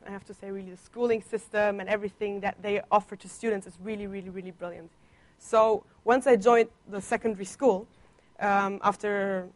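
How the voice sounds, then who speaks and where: Young woman giving a church testimony. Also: medium pitch. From Germany. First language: Italian and German. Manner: conversational, playful teasing humor. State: slight cough. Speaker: visiting guest speaker on a church placement. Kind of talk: personal testimony in a church talk.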